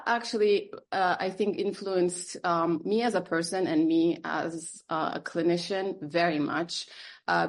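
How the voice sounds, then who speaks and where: A woman psychotherapist raised in Germany and Turkey, whose first language is Turkish, answering interview questions thoughtfully with frequent fillers and restarts. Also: on the low side. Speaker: psychotherapist guest.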